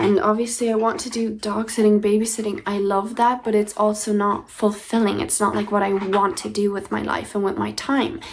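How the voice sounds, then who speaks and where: A young woman in her twenties speaks in a casual, reflective diary vlog. She rambles, circling back with fillers and restarts as she thinks aloud. Her voice sits at an ordinary pitch over a faint background hiss. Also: a little mellow. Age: 20s. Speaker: diary vlogger. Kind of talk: casual personal diary talk.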